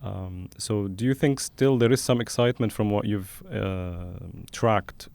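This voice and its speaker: A man hosting a conversational interview, speaking in a thoughtful, halting way as he frames questions. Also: on the low side, vocal fry, a little mellow, dry recording. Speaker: podcast host and interviewer.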